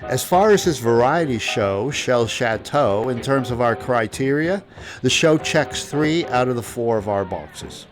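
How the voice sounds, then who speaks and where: Male narrator-host, mid-pitched, scripted review commentary over a prominent music bed. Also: expressive, unhurried. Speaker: host, reviewer of old-time radio.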